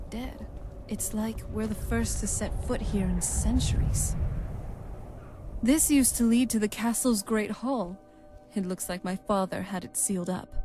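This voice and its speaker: A woman's voice: medium pitch, dark tone, lightly acted. An actress playing a wistful, young-looking vampire noblewoman in a video game, speaking in a conversational way. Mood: dismayed, wistful. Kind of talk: video game character dialogue.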